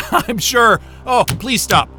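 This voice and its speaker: Excitable, high-voiced man. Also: very slow, soft background music, exaggerated voice. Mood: excited. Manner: excitable, sarcastic, exclamatory. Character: astrophysicist, excitable, sarcastic, foul-mouthed man. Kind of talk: naturalistic audio drama acting.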